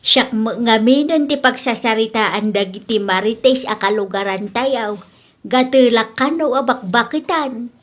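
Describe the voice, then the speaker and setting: A woman's voice: a little high, measured pace, fairly bright tone. A woman acting an affectionate, easily wounded older mother in an audio village drama. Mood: hurt, troubled.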